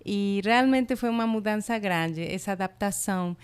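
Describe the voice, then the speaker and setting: A woman's voice: medium pitch, moderate pace, clean tone. A middle-aged woman from Mexico, a native Spanish speaker with a Spanish accent, chatting enthusiastically in an interview and using many fillers. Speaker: college international representative. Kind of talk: casual interview.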